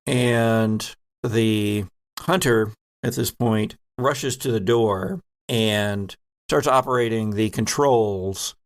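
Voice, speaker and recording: Male game master, lower voice, descriptive improvised narration delivered in pieced-together phrases. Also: takes it slowly.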